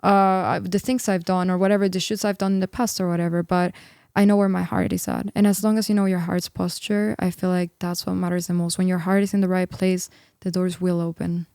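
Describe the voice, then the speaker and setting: Young woman in her twenties, earnest interview guest, smooth clear voice, even tone, dry close sound. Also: on the low side, brisk, dry recording. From Peru. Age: 20s. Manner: earnest, upbeat, filler-heavy with many "like"s. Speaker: singer and worship leader guest. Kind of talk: casual interview chat.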